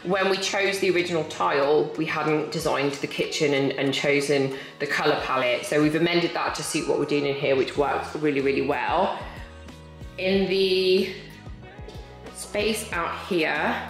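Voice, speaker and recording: A woman's voice: low-pitched, soft background music. A woman in her thirties renovating her home, talking candidly and matter-of-factly to camera. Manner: candid, matter-of-fact, brisk. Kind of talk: casual talk to camera. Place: large house under renovation, bare rooms indoors. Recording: echoey empty rooms.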